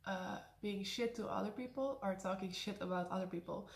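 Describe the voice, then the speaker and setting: A young Dutch woman with a high voice and a Dutch accent, chatting casually through a Q&A, laughing often and slipping in sarcastic asides. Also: a little fast. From Netherlands. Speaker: vlogger. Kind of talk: casual Q&A to camera. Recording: echoey room.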